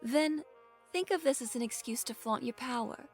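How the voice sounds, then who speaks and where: An actress playing the poised, scheming wife of a powerful man in an audio drama, coaxing and deliberate. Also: high voice, expressive, faint music behind. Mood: persuasive, calculating.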